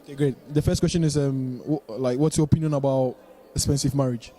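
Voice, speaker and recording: A man's voice: mid-range voice, steady tone, fairly quick, dark tone. A man interviewing people on the street, chatty and upbeat, stumbling over his words and laughing easily.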